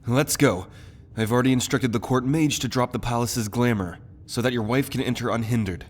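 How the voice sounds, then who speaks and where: Casting: actor as principled young prince, medium-pitched voice, earnest and courteous, loyal ally in a fantasy audio drama. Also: male voice, fairly quick, natural voice. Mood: eager, urgent. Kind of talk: fantasy audio roleplay acting.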